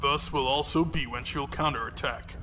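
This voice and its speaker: A man's voice: medium pitch, sharp tone, natural voice. Man acting cool commanding commodore, noisy track. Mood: cool disdain. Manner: measured, commanding, formal. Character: composed, confident male fleet commodore, coolly disdainful. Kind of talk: audio drama acting.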